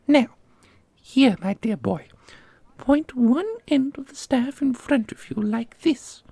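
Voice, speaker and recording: A woman playing an ancient custodian and combat mentor in an ASMR roleplay, doting and sharply sarcastic, with a husky, creaky voice. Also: high-pitched, lively intonation, takes it slowly, warm tone, dry recording, exaggerated voice. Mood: encouraging. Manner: theatrical, teasing, instructive. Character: millennia-old custodian mentor, doting on pupil, witheringly sarcastic.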